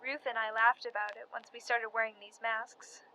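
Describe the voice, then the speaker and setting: A young actress as a 1918 college girl in a period radio drama, with a high, bright voice. She is chatty and confiding, yet worn out and frightened underneath. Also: level tone, normal speed, low-quality recording. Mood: gossipy, amused. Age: young adult. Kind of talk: dramatized letter monologue, period radio play.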